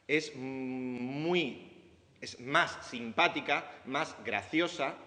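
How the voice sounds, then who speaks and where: An Andalusian man with a bright, forceful voice gives a relaxed lecture to a room of students, chatty and loose, throwing questions out to the audience and often restarting his sentences. The sound is roomy, with a long hall reverb around every word. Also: medium pitch. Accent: Andalusian Spanish. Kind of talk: informal lecture to foreign students. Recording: echoey hall.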